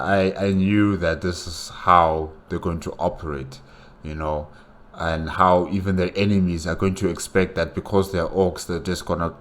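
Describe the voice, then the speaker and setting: A man giving casual, unscripted reaction commentary in a loose, conversational way. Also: sits low. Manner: loose, conversational, frequent "you know".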